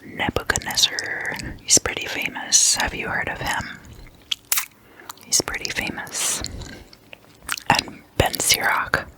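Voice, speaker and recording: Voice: slow pace, long pauses, rough recording. An ASMR host telling stories in a whisper, in a casual, gossipy, conversational manner.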